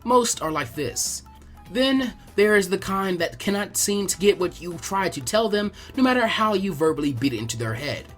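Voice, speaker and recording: A man's voice: sits high, big pitch swings, normal speed, faint music behind. A man reading fan fiction aloud as a scripted narrator.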